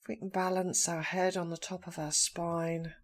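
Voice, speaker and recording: A woman leading a guided meditation in a soft, breathy voice, speaking calmly in short, slow phrases with long pauses. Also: fairly low pitch. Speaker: meditation teacher.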